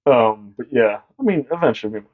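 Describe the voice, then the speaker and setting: A young man with a deep voice co-hosting a podcast in casual chat, rambling and halting with many fillers. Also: lively intonation, phone-quality sound, a little hiss or hum. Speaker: podcast co-host.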